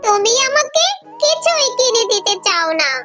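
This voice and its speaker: Little fox girl for a kids' cartoon: whiny, eager, tiny high bright voice. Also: big pitch swings, unhurried, soft background music, full character voice. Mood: sulky. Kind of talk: children's cartoon voice acting.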